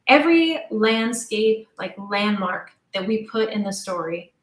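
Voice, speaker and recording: A middle-aged woman speaks as an interview guest in a roomy, echoing space. Her voice sits at a medium pitch. She tells her story casually and chattily, often slipping into quoted dialogue. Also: lively intonation, measured pace. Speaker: interview guest, audio drama creator.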